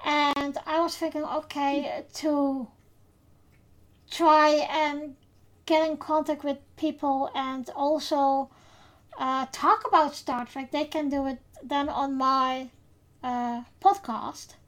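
A Dutch woman, speaking English with her native accent, hosts a casual podcast. Her voice sits high and she talks at a slow, unhurried pace. She pauses often with fillers as she gathers her thoughts. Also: little pitch movement, a bit bright. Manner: unhurried, hesitant with many fillers. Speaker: podcast host.

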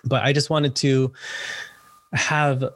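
A man's voice: mid-range voice, level tone, takes it slowly, no room sound. Chatty young man with a dry sound. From United States, Salvadoran family. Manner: chatty, laughs often, many fillers. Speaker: podcast guest, actor and writer. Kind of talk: casual interview chat.

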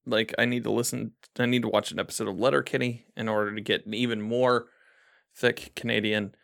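Man, host, mid pitch, loose rambling talk, self-deprecating jokes. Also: little pitch movement, brisk, vocal fry, fairly bright tone. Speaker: podcast host. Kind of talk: casual chat.

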